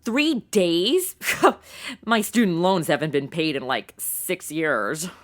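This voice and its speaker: A woman's voice: lowish voice, animated, slow pace. A woman playing a sassy modern young woman in a comedy audio drama, sarcastic, blunt and exasperated. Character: sassy modern young woman stuck in old radio westerns.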